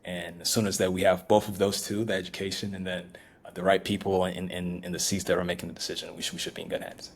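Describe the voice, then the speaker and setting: A man from Ghana, a startup founder, talking as a guest in a casual interview, halting and restarting often with many fillers. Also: low voice, flat delivery, creaky, slight background noise.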